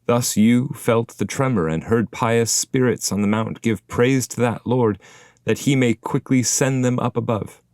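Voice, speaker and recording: A man's voice: medium pitch, unhurried, darkish tone, dry recording, voice pushed up, close to an everyday voice. Man playing ghostly poet in purgatory, solemn, courteous, formal archaic declamation, dry sound, epic audiobook. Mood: solemn explaining.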